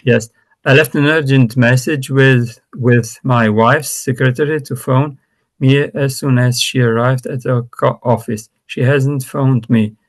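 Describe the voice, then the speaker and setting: A man's voice: mid-range voice, steady tone, unhurried. A man whose first language is Romanian, practicing English in an online lesson. He speaks hesitantly, with many restarts and fillers. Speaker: student. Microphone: video call.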